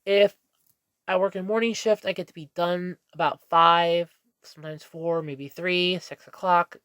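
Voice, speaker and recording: A woman's voice: lowish voice, fairly even intonation, unhurried, fairly bright tone, clean tone, no echo, clean studio sound. Stuffy-nosed woman rambling to camera. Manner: meandering, self-correcting, candid. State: stuffy nose. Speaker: vlogger. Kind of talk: casual rambling monologue.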